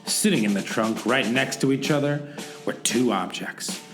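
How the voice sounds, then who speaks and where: A man playing an earnest amateur sleuth who narrates a comic radio play with deadpan drama. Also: medium pitch, moderate pace, lightly acted. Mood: wry, earnest. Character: earnest noir-style male amateur sleuth narrating his rescue mission. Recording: roomy echo with music underneath.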